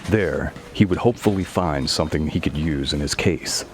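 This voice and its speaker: Deep-voiced man narrating a horror story. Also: normal speed.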